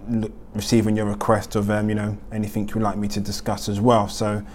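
A man's voice: fairly low pitch, completely flat delivery, a little fast, a little mellow. A man hosting a weekly news roundup, reading the items with many ums and uhs. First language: not German. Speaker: host.

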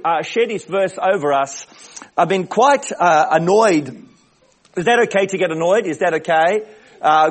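Booming man preaching. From Australia. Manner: big booming voice, emphatic repetitions. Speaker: visiting preacher. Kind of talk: sermon. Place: church service.

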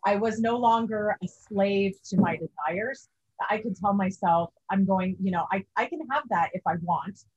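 A woman's voice: mid-range voice, normal speed, darkish tone, husky, dull audio. A woman in her forties, a guest in a casual interview, speaking earnestly. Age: 40s.

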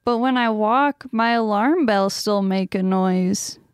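A woman voicing a calm female talking clock who speaks slowly and soothingly. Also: medium pitch, clear, resonant tone, no room sound, studio quality, lightly acted.

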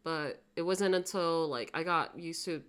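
Chatty young woman, lower voice. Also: unhurried, clear, resonant tone. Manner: chatty, laughs often, lots of "like". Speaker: interviewee. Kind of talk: casual conversation.

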